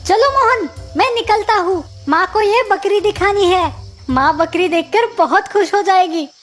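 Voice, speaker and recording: A woman voicing a naive, excitable and easily fooled village son in an animated moral story, whiny and exclamatory. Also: very high voice, a little slow, faint music behind. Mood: cheerful.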